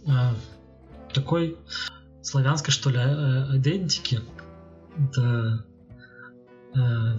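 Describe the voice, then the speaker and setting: A man talks casually as a podcast co-host, working through arguments out loud with hesitant pauses. The sound is slightly dull, with music playing behind him. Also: mid-range voice. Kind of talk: casual discussion.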